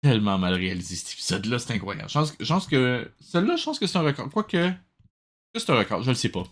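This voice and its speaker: Un homme à l'accent québécois qui commente de vieux dessins animés de façon décontractée et sarcastique, un peu exaspéré. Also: male voice, mid-range voice, sing-song, quick pace. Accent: Quebec French. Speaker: host of a web show reviewing old cartoons. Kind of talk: casual comedic commentary.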